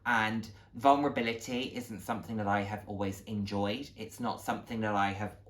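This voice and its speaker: A man's voice: fairly low pitch, fairly even intonation, a little fast, fairly bright tone. A man giving a candid, motivational monologue to camera, speaking earnestly and emphatically and repeating phrases for stress. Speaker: vlogger sharing a personal journey.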